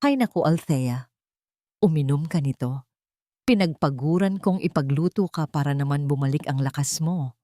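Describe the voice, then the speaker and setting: A woman's voice: low-pitched, lively intonation, normal speed, warm tone, no echo, studio quality. A woman voicing a stern, domineering elderly mother-in-law in an audio story, commanding and reproachful. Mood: impatient scolding.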